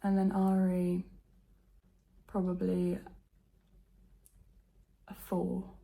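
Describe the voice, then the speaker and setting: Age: teens. Quality: croaky, gravelly, mellow. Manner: soft and nervous. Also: female voice, on the low side, monotone, very slow, poor audio. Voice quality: croaky, just woken. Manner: soft, half-whispered, nervous chatter. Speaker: vlogger. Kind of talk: casual to-camera vlog. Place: at home indoors.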